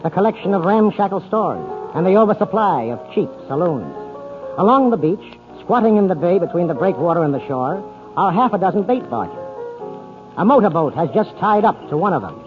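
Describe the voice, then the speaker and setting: A man's voice: high voice, big pitch swings, normal speed, music in the background. A man hosting and narrating a 1940s radio drama in a polished, theatrical announcer style. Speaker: radio show host and narrator. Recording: tinny 1940s radio broadcast recording.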